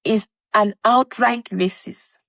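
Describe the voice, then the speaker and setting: Woman, twenties, from Cameroon; podcast co-host, lively storyteller who acts out dialogue, dry close sound. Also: medium pitch, takes it slowly, gravelly, no echo. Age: 20s. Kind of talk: casual chat.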